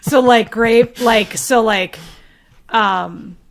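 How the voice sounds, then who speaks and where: A woman's voice: fairly high pitch, extremely slow, gravelly. A woman guest on a podcast, talking casually and very informally. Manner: informal, filler-heavy talk peppered with "like". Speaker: podcast guest, local journalist.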